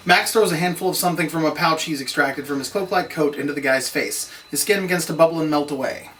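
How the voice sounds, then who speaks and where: A man's voice: a little high, fast, a bit bright. A man narrating scene directions from a script at a table read. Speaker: narrator reading stage directions. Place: room, read aloud at a table. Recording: distant room mic, faint noise.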